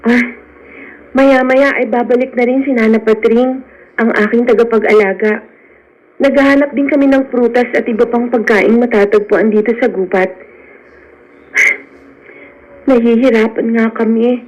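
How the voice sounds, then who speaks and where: An actress playing a gentle, fearful, sheltered rich young woman in a Filipino radio drama. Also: on the high side, fairly even intonation, normal speed, close to an everyday voice. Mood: guarded, gentle. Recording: dull lo-fi radio, music behind.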